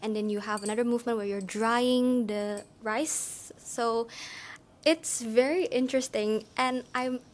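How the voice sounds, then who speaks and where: A woman's voice: a little high, steady tone, unhurried. A young woman from Indonesia. She is an exchange student answering interview questions in a chatty, explanatory way, full of "like" and "you know". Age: young adult. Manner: chatty, explanatory, with many fillers like "like".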